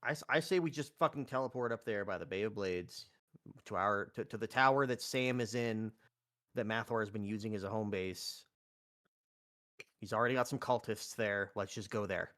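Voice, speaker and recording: A man's voice: on the low side, normal speed, fairly bright tone. A man playing a tabletop roleplay game, talking casually and hesitantly, with some swearing. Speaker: player.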